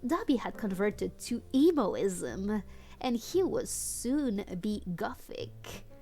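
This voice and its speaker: A woman's voice: medium pitch, very animated, takes it slowly, mellow, faint music behind. A woman livestreaming a read-aloud of fan fiction on a headset, playful and mock-dramatic, with amused asides. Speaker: streamer and host.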